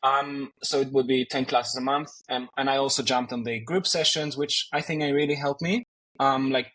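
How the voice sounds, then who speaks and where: A man's voice: medium pitch, fairly even intonation, moderate pace, fairly bright tone, rough recording. A man from Greece, a native Greek speaker, chatting as an interview guest and talking with many fillers and restarts. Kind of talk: casual conversation.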